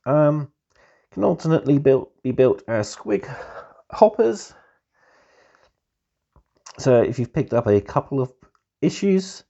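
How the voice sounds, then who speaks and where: A man with a cold, hoarse and husky, giving a relaxed, easygoing review of a hobby magazine as the channel's host. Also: mid-range voice. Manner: relaxed, easygoing, keeps calling things pretty cool. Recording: dull lo-fi recording.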